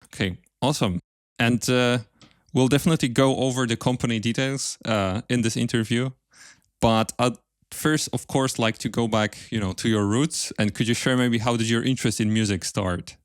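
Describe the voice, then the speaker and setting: A man speaks as a podcast host, moving between scripted presenting and interview questions. His voice sits at a middle pitch, recorded close and dry with very little room sound. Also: steady tone, normal speed, smooth, dry recording.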